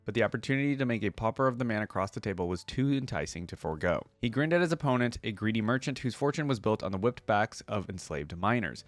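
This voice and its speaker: A man's voice: on the low side, dry recording. A man hosting a reaction video, moving between casual commentary and reading a story aloud.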